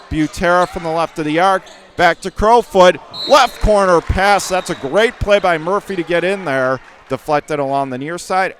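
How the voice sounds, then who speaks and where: High-pitched excited basketball announcer man. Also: slight background noise. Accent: Western New York. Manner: rapid calls that surge to excited shouts on big plays. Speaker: radio play-by-play announcer. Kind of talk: live basketball play-by-play. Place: high school gym.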